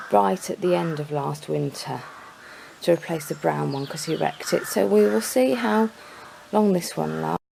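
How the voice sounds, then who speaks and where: Croaky woman with a cold. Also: fairly low pitch, dark tone, a little hiss or hum, rough recording. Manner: chatty, hesitant with many fillers. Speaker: horse owner vlogger. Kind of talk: casual product review.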